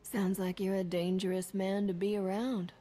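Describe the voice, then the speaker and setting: An actress playing a confident, tough heroine in a video game, cool and self-assured with a dry delivery. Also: female voice, medium pitch, little pitch movement, moderate pace, warm tone, natural voice. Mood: questioning. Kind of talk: cinematic video game acting.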